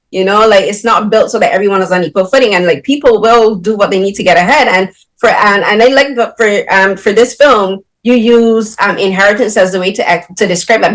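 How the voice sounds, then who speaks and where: A woman's voice: medium pitch, rough recording. Woman asking long film questions. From Barbados. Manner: long winding questions with many fillers. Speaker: interviewer. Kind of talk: film interview.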